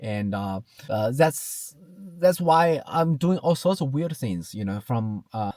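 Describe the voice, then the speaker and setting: A man's voice: mid-range voice, lively intonation, slow pace, warm tone, dry recording. Hesitant man in his thirties, from China. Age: 30s. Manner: hesitant, many fillers, reflective. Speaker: vlogger. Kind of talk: casual self-talk ramble. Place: car.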